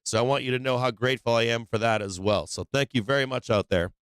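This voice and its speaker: A man's voice: mid-range voice, fairly even intonation, fast, a bit bright, clean tone, no echo, studio quality. A man hosting a live stock trading stream, chatting casually and bluntly in slangy, profanity-laced talk. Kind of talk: casual live stream chat and market commentary.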